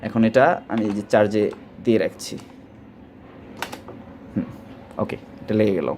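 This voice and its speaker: A man's voice: on the low side, normal speed, mellow, a little hiss or hum. A man with a Bangladeshi accent giving an informal, loosely improvised product demonstration, repeating himself and reaching for filler words. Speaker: product presenter for a walkie-talkie seller.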